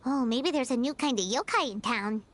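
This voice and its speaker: A woman's voice: fairly high pitch, moderate pace, a crowd in the background, lightly acted. A woman voicing a tiny, bubbly female fairy companion in a video game who is curious and refers to herself by name. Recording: game audio with clear background noise.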